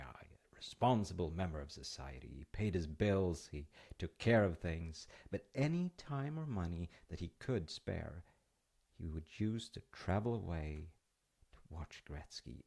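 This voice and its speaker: A man playing a gentle, reassuring, slightly goofy dad in an intimate roleplay, talking in a soothing, hesitant, conversational way. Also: low-pitched, vocal fry, slightly rough, heavily acted. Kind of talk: intimate roleplay monologue with storytelling.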